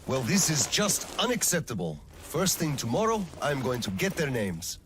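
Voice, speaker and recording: An actor playing a weary, principled middle-aged colonel and gentle father in a video game, speaking in a measured, firm way. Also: medium pitch, huge pitch swings, noisy background. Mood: tired, fatherly. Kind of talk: cinematic game dialogue acting.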